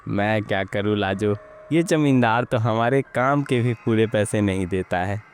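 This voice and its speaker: A man voicing a poor, hungry farm labourer in an animated village story, troubled and pleading in manner. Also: lowish voice, measured pace, slight background noise, close to an everyday voice. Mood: troubled, humble.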